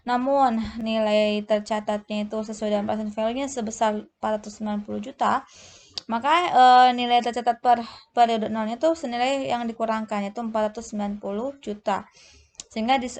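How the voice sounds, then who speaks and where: A woman teaching a lesson as a tutor, explaining step by step in a halting way and often correcting herself. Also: on the high side, darkish tone. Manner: halting, often searches for words and self-corrects. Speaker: accounting tutor. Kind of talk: explanatory lesson walking through tables.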